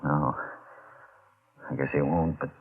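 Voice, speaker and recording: An actor playing a brooding, guilt-ridden deep-sea diver in an old-time radio mystery, speaking in a tense, halting way. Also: male voice, steady tone, husky, heavily acted. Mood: cold, scheming. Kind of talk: old-time radio drama acting with narrated inner monologue. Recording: muffled, noisy old radio recording.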